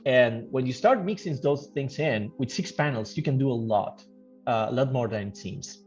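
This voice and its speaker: A man who works as a game developer gives casual interview answers, thinking aloud haltingly and repeating phrases. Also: mid-range voice, expressive, a little mellow. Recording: dull lo-fi audio, music behind. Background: background music.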